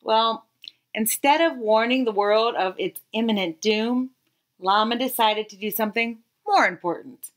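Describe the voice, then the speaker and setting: A woman in her thirties reading a children's picture book aloud expressively, with dramatic pauses and emphasis. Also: on the high side. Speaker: read-aloud storyteller.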